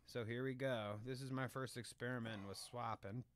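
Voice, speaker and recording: A man's voice: mid-range voice, fairly even intonation, moderate pace. A man co-hosting a podcast, easygoing and laughing, with casual swearing. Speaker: podcast co-host.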